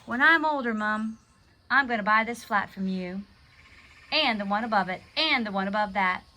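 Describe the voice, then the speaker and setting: A woman voicing an excitable, big-dreaming young boy in a storybook, eager and emphatic. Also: mid-range voice, normal speed. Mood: excited, determined.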